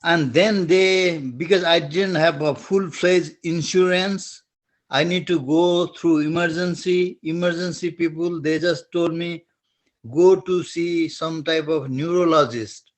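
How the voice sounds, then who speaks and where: This middle-aged man from Nepal talks in a slow, halting way, leaving gaps as he searches for the right word. His voice sits fairly high for a man, and his Nepali accent is strong. He keeps restarting his phrases as he asks a question on a webinar call. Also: fairly even intonation. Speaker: webinar attendee asking a question.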